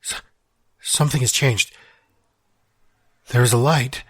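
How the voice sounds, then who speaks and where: A man gives voice to a lonely soul trapped inside his own mind, who stammers, repeats himself and sighs between thoughts. His voice is husky and a little breathy, sitting at an ordinary male pitch. It sounds dry and close, with no room around it. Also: fairly even intonation, dry recording. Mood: despairing. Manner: halting, stammering, sighing. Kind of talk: audio drama monologue.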